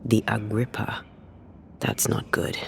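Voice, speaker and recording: A grave, gravelly occult investigator. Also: male voice, lowish voice, level tone, takes it slowly, in a whisper. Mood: grave, wary. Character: grave, wary male investigator of occult dangers. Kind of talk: video game voice acting.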